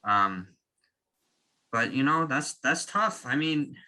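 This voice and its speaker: A young man co-hosting a casual sports podcast, talking in an animated, joking way with quick opinions. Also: mid-range voice. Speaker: podcast co-host.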